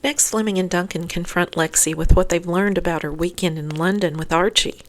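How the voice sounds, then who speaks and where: A woman's voice: fairly low pitch, fairly even intonation, a little fast. A woman narrating a scripted episode recap as host, adding chatty asides to listeners.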